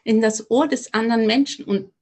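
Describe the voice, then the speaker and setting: A woman's voice: a little high, moderate pace, darkish tone. A middle-aged woman from near Cologne with a slight Rhineland accent, a voice coach and opera singer, speaking reflectively and earnestly as a podcast guest. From near Cologne, Germany. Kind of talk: podcast interview.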